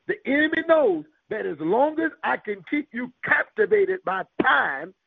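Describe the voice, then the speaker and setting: A man's voice: sits high, creaky, husky, no room sound. A man preaching a sermon with emphatic call-and-response and scripture quotations. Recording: tinny phone-like radio broadcast.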